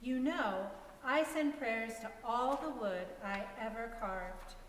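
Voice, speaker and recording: A woman's voice: fairly high pitch, takes it slowly. A woman giving a dramatic reading of a story, voicing both narration and dialogue. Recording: echoey hall with faint hiss.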